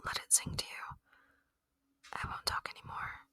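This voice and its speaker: An ASMR performer voicing a gentle, lonely ancient alien in a breathy whisper, speaking tenderly and reflectively in a roleplay. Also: normal speed, dry recording, clean studio sound. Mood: wistful, soothing.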